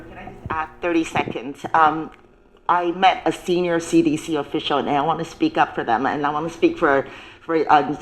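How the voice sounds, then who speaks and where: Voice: medium pitch, low-quality recording. A policy expert on a panel, speaking urgently in non-native English and repeating key points. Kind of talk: roundtable panel discussion.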